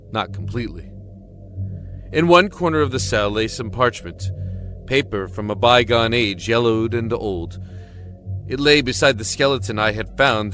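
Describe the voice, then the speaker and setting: A man's voice: lowish voice, a bit bright. An actor playing a desperate imprisoned man in an audio drama, telling his story in an earnest, emotive, brooding way. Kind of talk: dramatic first-person audio drama narration.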